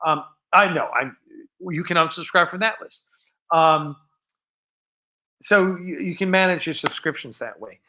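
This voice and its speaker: Bright-voiced man, rambling livestream host. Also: fairly high pitch. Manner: rambling, halting, self-interrupting. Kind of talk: casual, unscripted livestream chat.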